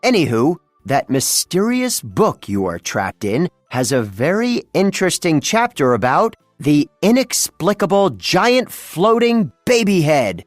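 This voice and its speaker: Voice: mid-range voice, big pitch swings, a little slow, few pauses, dry recording, soft background music. A storybook reader voicing a bumbling, theatrical male cartoon mad scientist who scheme-talks in a grandiose, rambling, exasperated way. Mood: conversational scheming.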